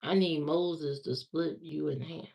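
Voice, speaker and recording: A woman's voice: low-pitched, fairly even intonation, measured pace, vocal fry, darkish tone. A woman from Indiana chatting casually on a livestream, answering viewer comments and repeating herself as she talks back to them. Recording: slightly muffled lo-fi stream audio.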